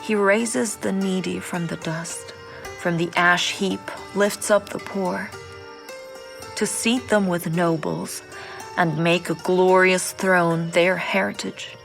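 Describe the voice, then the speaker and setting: A devout young mother speaks her prayer with a low, slightly gravelly voice. She recites slowly and solemnly, every line measured. Soft music plays underneath the studio recording. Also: steady tone, heavily acted. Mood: exultant. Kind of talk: audio drama scripture reading.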